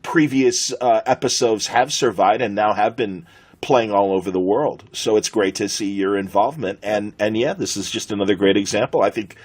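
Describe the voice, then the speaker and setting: A middle-aged man with an American accent and a husky voice, chatting conversationally as a podcast host. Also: fairly low pitch, moderate pace. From United States.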